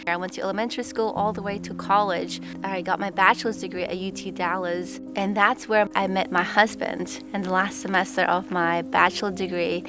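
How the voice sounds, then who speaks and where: A woman's voice: medium pitch, little pitch movement, brisk, few pauses. A young woman from Pakistan giving an earnest, reflective personal testimony. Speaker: testimony speaker. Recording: music bed underneath.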